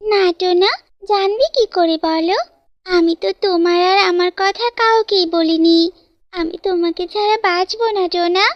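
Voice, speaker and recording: Woman voicing a very high-pitched lovestruck girl bird. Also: steady tone, moderate pace, no echo, dull audio. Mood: distressed. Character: lovestruck, anxious young female bird, a student. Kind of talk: cartoon fairytale dialogue.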